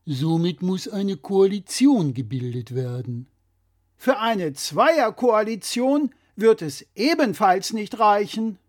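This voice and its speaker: A man's voice: sits high, big pitch swings, slow. A man presenting a scripted political explainer in a didactic, critical way.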